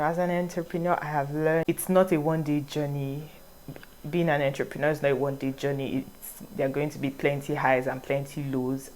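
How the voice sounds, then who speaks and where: A woman's voice: low voice, a little hiss or hum, rough recording. A young woman from Nigeria with a Nigerian accent, answering viewer questions in a casual vlog, reading each one aloud before she answers it. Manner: reads questions aloud, then answers in a relaxed, rambling way. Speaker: vlogger.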